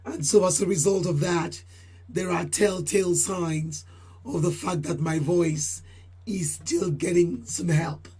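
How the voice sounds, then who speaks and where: Female channel host, very husky and mellow, voice deepened by a recent cold, formal and repetitive delivery. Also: unhurried. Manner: formal, repeats phrases, addresses viewers as ladies and gentlemen. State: voice deeper than usual from a cold. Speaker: channel host giving crypto price updates. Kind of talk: solo market update talk.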